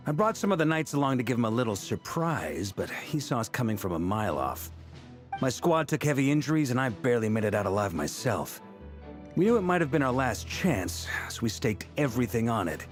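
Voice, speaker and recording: A seasoned, middle-aged knight commander from a video game, played by a man, self-assured and wry. He speaks with a commanding ease, his pitch moving lively. Faint game music plays under his lines. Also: lightly acted.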